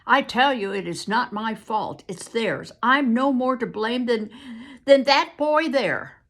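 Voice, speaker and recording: A woman's voice: a little high, expressive, a little slow, fairly bright tone, pitched above the performer's own voice, full character voice. A woman reading aloud, voicing a desperate young male soldier who pleads earnestly. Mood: pleading.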